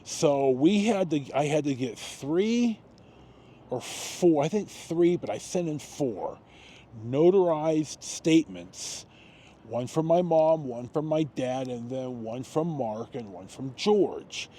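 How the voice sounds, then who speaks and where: A man in his sixties with an American accent, telling personal stories casually, in a halting, rambling way with frequent pauses and repetitions. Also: on the high side, expressive, dark tone. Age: 60s. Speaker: storytelling vlogger.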